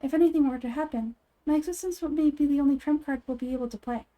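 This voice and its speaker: A woman voicing a calm, wise young goddess with measured, formal phrasing in a video game. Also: high voice, lightly acted. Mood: cautious.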